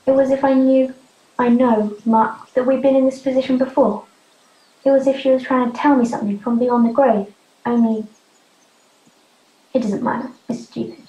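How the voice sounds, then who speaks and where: Defiant teen runaway girl, young actress, stage drama, earnest, slightly high voice, roomy sound. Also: steady tone, normal speed, long pauses, dark tone, lightly acted. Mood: wistful, sad. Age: young adult. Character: defiant, hurt teenage runaway girl living wild. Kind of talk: youth theatre stage drama acting. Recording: muffled, roomy amateur recording.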